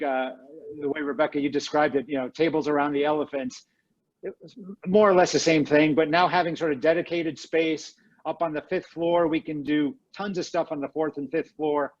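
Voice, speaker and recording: A man speaking for a library on a panel, relaxed and self-deprecating. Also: fairly high pitch, highs cut off. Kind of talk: panel discussion answers.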